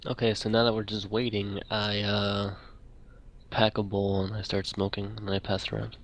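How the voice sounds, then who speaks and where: A man's voice: lowish voice, completely flat delivery, normal speed. A man chatting casually as a player in a role-play game.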